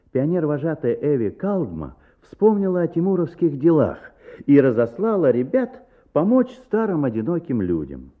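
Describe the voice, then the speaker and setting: A man playing the storyteller of a children's radio play, with dramatic, deliberate phrasing. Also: on the high side. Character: lively male storyteller of a children's tale. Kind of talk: scripted radio play narration. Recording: muffled, narrow-band old radio recording.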